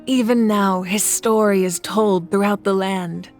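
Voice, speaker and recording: Woman acting a composed, measured young leader. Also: medium pitch, fairly even intonation, a little mellow, faint music behind, lightly acted. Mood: solemn, respectful. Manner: measured, earnest, explanatory. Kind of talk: English game dub acting, storytelling.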